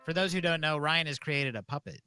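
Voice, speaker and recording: Higher-pitched man hosting over plucked music. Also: fairly quick, bright, forceful tone. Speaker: host of a call-in show. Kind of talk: casual call-in show hosting. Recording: music behind the voice.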